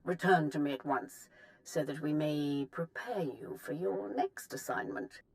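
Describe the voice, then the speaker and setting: An actress playing a calculating, assured Sith empress in a video game, with imperious, formal and measured phrasing. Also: female voice, low-pitched, lively intonation, gravelly, exaggerated voice. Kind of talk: dramatic game voice acting.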